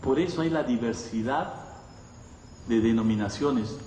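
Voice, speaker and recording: A man's voice: medium pitch, measured pace, mellow. A man preaching a conversational sermon to a congregation, teaching with rhetorical questions and checking in with his listeners. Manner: didactic, with rhetorical questions and frequent check-in tags. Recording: echoey hall, distant mic, faint noise.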